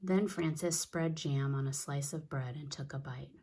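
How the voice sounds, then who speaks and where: A woman reads a children's story aloud. Her voice sits a little low as she narrates. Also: unhurried, mellow. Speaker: storybook reader.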